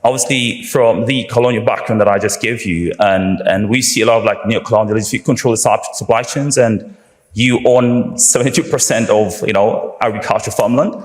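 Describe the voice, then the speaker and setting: A man questions a debater in a measured, somewhat hesitant way. His voice sits low for a man. It comes through a roomy space with a long, echoing hall tail. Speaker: audience questioner. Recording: echoey hall reverb.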